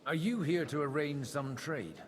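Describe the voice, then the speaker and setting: An actor playing a world-weary, wry middle-aged medieval priest in video game dialogue, grumbling drily. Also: male voice, a little high, a little slow, lightly acted. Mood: courteous.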